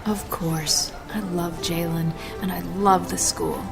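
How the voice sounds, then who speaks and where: An actress playing a kind, generous restaurant owner in an audio drama, effusive and sincere in manner. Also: female voice, lowish voice, steady tone, slow pace, vocal fry. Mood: concerned. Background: music.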